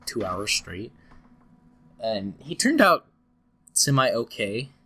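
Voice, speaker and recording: A man's voice: lowish voice, big pitch swings, slow, bright, forceful tone, slightly rough. A young man hosting a casual podcast, sounding congested and a little scratchy from a cold, rambling with lots of fillers and restarts. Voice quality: slightly scratchy, nasal. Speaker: podcast host.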